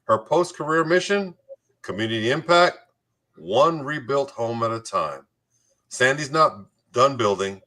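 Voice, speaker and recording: A man's voice: medium pitch, expressive, a little slow, sharp tone. A man hosting a community talk show, moving between scripted introductions and playful, jokey banter.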